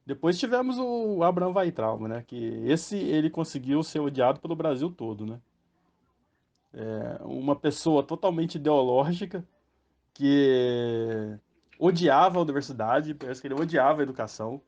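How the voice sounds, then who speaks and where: A man's voice: on the high side, animated, normal speed, a little mellow. A Brazilian man hosting a podcast, rambling through casual commentary with many fillers and tag questions. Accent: Brazilian Portuguese. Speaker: podcast host. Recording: muffled lo-fi sound.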